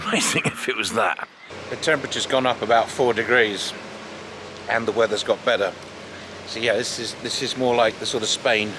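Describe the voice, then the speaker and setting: Excitable British man with music behind. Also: fairly low pitch. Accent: British English. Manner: chatty, excitable, talks while walking. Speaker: vlogger house hunting. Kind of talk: casual travel vlog. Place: outdoors in town streets. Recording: music playing underneath.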